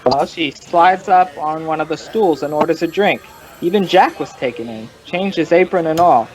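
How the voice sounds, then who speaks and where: An actor as a folksy, rambling barfly, higher-pitched man. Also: lightly acted. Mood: chatty. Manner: digressive, colloquial, drawn-out storytelling. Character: folksy, rambling male barfly informant telling his story. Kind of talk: old-time radio crime drama acting.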